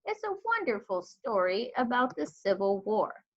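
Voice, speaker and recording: A woman reading a storybook, voicing an elderly lady librarian who tells tales fondly with drawn-out, emphatic phrasing. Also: on the high side, sing-song. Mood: shocked, emphatic. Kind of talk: storybook read-aloud. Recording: dull lo-fi recording.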